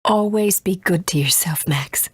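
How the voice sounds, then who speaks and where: A woman voicing a gentle, earnest mother and resistance fighter in a video game, soft and breathy, speaking in a measured, tender way. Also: on the low side, dry recording, clean studio sound. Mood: tender, wistful. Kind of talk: English video game voice acting.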